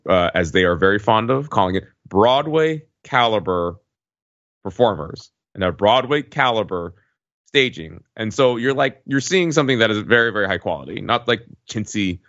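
A man on a podcast casually recounting an experience in a wordy, halting way, often correcting himself. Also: on the low side, lively intonation, fairly bright tone. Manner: wordy, halting delivery with frequent hedging and self-corrections. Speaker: podcast regular recounting a trip. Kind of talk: casual chat, rambling storytelling. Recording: dull lo-fi, roomy echo.

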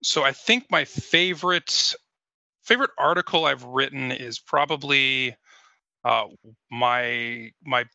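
A man chatting casually as a podcast guest, halting and reflective, over a lo-fi connection. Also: mid-range voice, creaky, pushed and bright, dry recording.

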